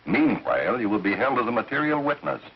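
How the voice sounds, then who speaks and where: An actor playing a stern judge in a 1930s film, speaking in a formal, measured way. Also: male voice, medium pitch, very animated, slightly rough. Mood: stern, official. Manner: formal, measured, pronouncing rulings. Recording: dull 1930s film soundtrack.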